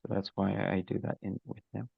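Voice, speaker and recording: A man from Nepal talking on a video call, his voice low, gravelly and husky, in a flat tone. He speaks hesitantly with fillers. The audio is dry and muffled. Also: a little fast, dry recording. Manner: hesitant, fillers, soft laughs. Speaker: student. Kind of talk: casual chat with teacher.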